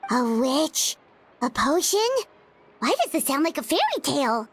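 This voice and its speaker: A woman voicing a tiny childlike fairy companion in a video game, squeaky, bubbly and nosy. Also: big pitch swings, moderate pace. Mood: skeptical, curious. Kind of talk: animated game dialogue.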